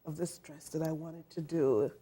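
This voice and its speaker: A middle-aged woman from Trinidad and Tobago, a fashion designer, answering interview questions in a soft, thoughtful way with many pauses and fillers. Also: deep voice, fairly even intonation, breathy edge. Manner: soft-spoken, thoughtful, many ums and uhs. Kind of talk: interview guest.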